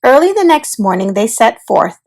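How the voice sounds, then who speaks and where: High, very expressive woman storyteller. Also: moderate pace, vocal fry. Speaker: read-aloud storyteller. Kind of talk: children's storybook narration.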